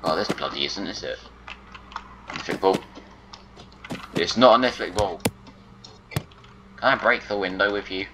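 Low-voiced man muttering through a game. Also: expressive, measured pace, pauses often, noisy background. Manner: exasperated muttering to himself, talks to objects. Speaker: gameplay commentator. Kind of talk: casual gameplay commentary.

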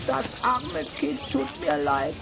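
An actor voicing a kind-hearted, earnest talking raven in an old children's radio play, with a croaking voice. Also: sits high, sing-song, slow pace, very noisy, heavily acted. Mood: earnest pleading.

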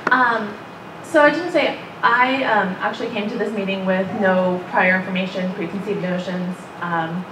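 A woman's voice: mid-range voice, normal speed. A woman giving informal, tentative public comment at a hearing, searching for words as she goes. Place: indoor meeting room at a public hearing. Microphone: distant camera microphone. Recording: distant, echoey, noisy room microphone.

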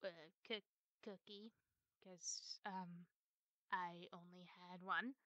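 A woman's voice: fairly low pitch, fairly even intonation, slow pace, pushed and bright, no room sound. A woman playing a cute, playful, childishly possessive girlfriend in an ASMR roleplay, with a coy, stammering manner. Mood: sheepish, evasive.

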